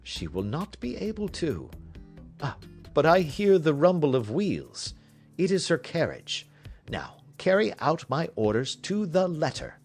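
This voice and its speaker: A man voicing a brilliant, self-assured detective in an audiobook, brisk and commanding, with an emphatic, didactic delivery. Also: on the high side, wildly swooping pitch, darkish tone, breathy edge, soft background music, pitched above the performer's own voice, heavily acted.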